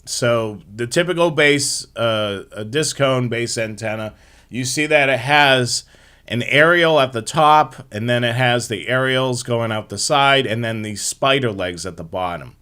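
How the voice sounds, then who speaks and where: A man's voice: mid-range voice, a little slow, sharp tone, clear, resonant tone. A man hosting a live hobby show, explaining things step by step in an informal slideshow presentation.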